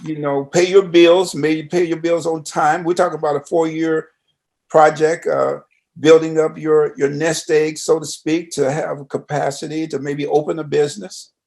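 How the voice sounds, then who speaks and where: Gravelly, higher-pitched American man interviewing. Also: flat delivery, moderate pace, darkish tone. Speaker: radio host interviewer. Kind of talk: conversational radio interview.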